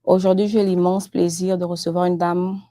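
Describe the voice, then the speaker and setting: A French-speaking woman hosting a live-streamed interview, talking in a halting, unhurried way and moving between English and French. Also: fairly low pitch, little pitch movement, dark tone. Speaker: host of a live show.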